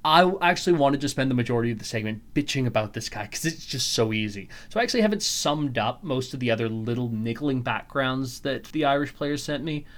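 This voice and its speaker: A man's voice: medium pitch, lively intonation, a little fast, hardly any pauses, a bit bright. A young man hosting his own podcast, ranting sarcastically and swearing freely as he mocks a story. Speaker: podcast host. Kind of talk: solo podcast monologue.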